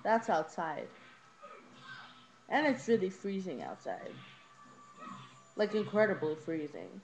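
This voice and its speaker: A woman's voice: very animated, slow pace, vocal fry, faint music behind. A young woman giving a casual, rambling home tour on a webcam, informal and a little hesitant as she goes. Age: teenager to young adult. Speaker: vlogger. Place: rooms of a small house. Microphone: handheld webcam, moved around. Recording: noisy low-quality webcam audio.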